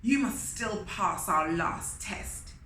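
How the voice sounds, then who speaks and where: A woman's voice: mid-range voice, expressive, takes it slowly, pushed and bright. A woman voicing a proud, huffy, grudging king of the sky in a pompous, commanding storybook manner. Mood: stern. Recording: roomy echo with faint noise.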